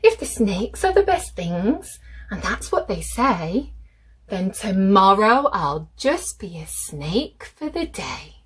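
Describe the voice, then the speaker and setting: Woman voicing an excitable, swooping storybook emu. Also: medium pitch, slow, slightly rough, heavily acted. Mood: sly. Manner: theatrical, eager, emphatic.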